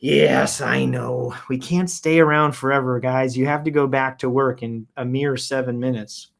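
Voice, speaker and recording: A man's voice: mid-range voice, fairly even intonation, moderate pace, gravelly. A man hosting a show, casually reading posts aloud and improvising commentary with playful announcer flourishes and wry asides.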